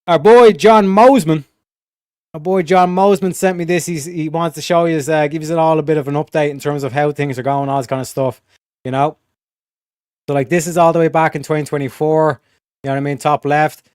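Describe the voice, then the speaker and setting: Streamer host, male, casual and profane, smooth clear voice, dry close mic. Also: on the high side, fairly even intonation, a little fast, darkish tone, dry recording. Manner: slangy, profane, rambling with many fillers. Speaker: livestream host. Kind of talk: casual livestream chat.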